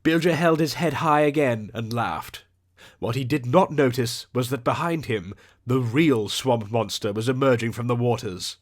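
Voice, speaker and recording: Man narrating a fantasy audiobook, medium pitch. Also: normal speed. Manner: theatrical, descriptive storyteller delivery. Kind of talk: scripted dramatic storytelling.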